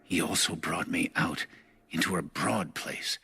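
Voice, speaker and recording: Male scripture narrator, deep and solemn, measured and unhurried delivery, clear music underneath. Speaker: Bible narrator.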